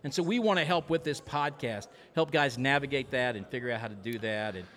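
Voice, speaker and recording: A man's voice: mid-range voice, fairly quick, fairly bright tone. An American man from a small town, a pastor talking casually as a podcast co-host before a live audience. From small-town United States.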